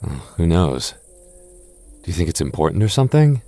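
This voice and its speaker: A young man in a close-mic boyfriend roleplay, talking softly with halting restarts and light jokes. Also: deep voice, big pitch swings, no echo. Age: young adult. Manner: soft-spoken, halting, jokey and reassuring; slow measured reading of a rhyme.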